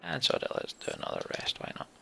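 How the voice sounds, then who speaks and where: A man's voice: fast, sharp tone, a little hiss or hum. A man playing a game as a let's player, reading text aloud as narration and adding casual muttered commentary.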